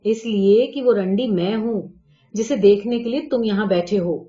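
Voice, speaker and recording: A woman's voice: mid-range voice, warm tone, muffled recording, natural voice. A woman voicing an innocent, playful teenage girl with a frank, teasing manner in a story reading. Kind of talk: storybook character dialogue.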